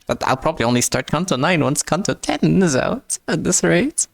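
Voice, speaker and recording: A man's voice: fairly high pitch, sing-song, normal speed, gravelly, dry recording. Stammering man chatting with stream, dry sound. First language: not English. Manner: stumbles and repeats words, answers chat with joking self-mockery. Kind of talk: casual live-stream chat.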